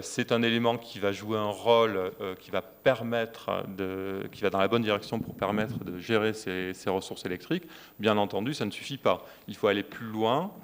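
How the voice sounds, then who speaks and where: A man speaking as an economist on a conference panel, measured and hesitant, with frequent filler pauses. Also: fairly low pitch, a little hiss or hum.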